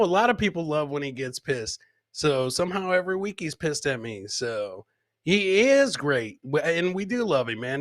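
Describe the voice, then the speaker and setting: A man's voice: mid-range voice, a bit bright, no echo, studio quality. A man hosting a comedy podcast, chatting casually and bantering with viewers in informal, profane language. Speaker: podcast host. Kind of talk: casual comedic livestream chat.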